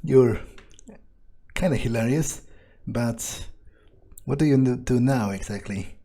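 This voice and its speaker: A man's voice: medium pitch, slow pace, warm tone. A man doing in-character commentary as he plays through a game, musing and thinking aloud with some hesitation. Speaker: gameplay host role-playing his character.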